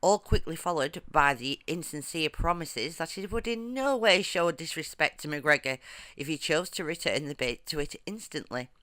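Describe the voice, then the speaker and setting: A storyteller narrating a folktale from a script in measured, formal storybook phrasing. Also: mid-range voice, expressive, bright, forceful tone, dry recording.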